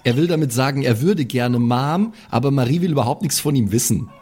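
A man's voice: mid-range voice, animated, a little fast, a little mellow, husky, voice pushed down. A man playing a brash, cocky young woman from a band in an improvised audio role-play, casual and swaggering.

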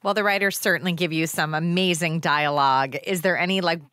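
A woman's voice: fairly low pitch, fairly even intonation, brisk, sharp tone, smooth, dry recording. A woman interviewer asking casual, chatty questions.

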